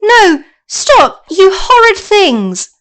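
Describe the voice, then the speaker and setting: A woman's voice: very high-pitched, expressive, unhurried, a bit bright, pitched above the performer's own voice, exaggerated voice. A woman voicing an earnest, brave young girl in a storybook reading, with a childlike manner. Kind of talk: storybook character voice.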